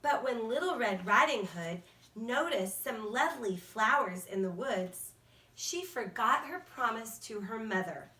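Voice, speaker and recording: A woman's voice: fairly high pitch, lively intonation, a little slow, bright, forceful tone. A woman narrating a fairy tale for children as a storyteller. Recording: echoey room, distant from mic.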